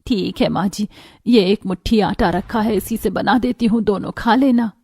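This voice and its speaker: A woman's voice: medium pitch, normal speed, mellow, breathy edge, no echo. A woman voicing a dutiful, worried village wife and mother, earnest and pleading, in a moral story.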